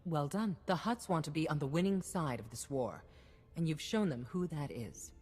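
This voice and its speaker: An actress playing a shrewd, stern head of state in a science-fiction video game, with a formal, cutting, authoritative manner. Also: female voice, deep voice, animated, moderate pace, darkish tone, breathy edge. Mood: approving, resolute. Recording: reverberant hall, music behind.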